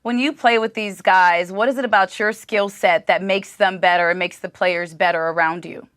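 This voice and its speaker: Female interviewer, mid pitch, dry close sound. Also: steady tone, normal speed, fairly bright tone, dry recording. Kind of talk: interview questions.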